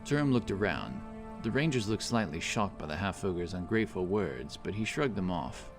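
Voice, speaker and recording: A man's voice: deep voice, sing-song, normal speed. A man narrating a scripted fantasy story as an audiobook reader. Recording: clear music bed behind the voice. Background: background music.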